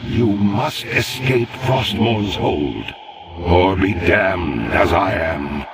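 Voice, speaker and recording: An actor playing the solemn spirit of a dead royal father in a video game, grave, noble and declamatory, with a gravelly voice. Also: sits low, expressive, drawn-out words, heavy background noise, full character voice. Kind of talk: dramatic video game acting.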